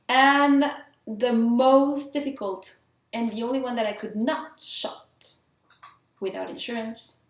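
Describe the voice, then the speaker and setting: A woman's voice: on the high side, fairly bright tone. A woman from Mexico with a Mexican accent tinged with French, talking through filmmaking tips in an earnest, chatty, enthusiastic way. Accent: Mexican, with some French influence. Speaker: independent filmmaker. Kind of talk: casual talk giving filmmaking tips. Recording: roomy echo.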